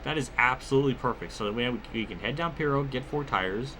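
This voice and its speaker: A man's voice: medium pitch, fast, a crowd in the background. A man giving casual, rambling gameplay commentary mixed with personal storytelling, conversational and free with swearing. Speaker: gaming video creator.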